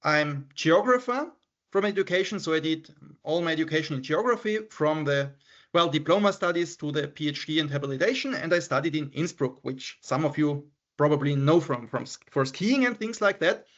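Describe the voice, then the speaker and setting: A man's voice: fairly high pitch, lively intonation, a little fast, a bit bright. A middle-aged man with an Austrian German accent giving an online seminar as a professor, hesitant and repeating words, with many fillers. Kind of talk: online academic lecture. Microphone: computer mic on a video call. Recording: slightly muffled video-call audio.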